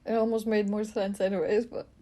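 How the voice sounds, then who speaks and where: A young woman from Latvia co-hosting a podcast, chatty and quick to laugh. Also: medium pitch. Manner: chatty, laughs often, lively asides. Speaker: co-host. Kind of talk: casual chat.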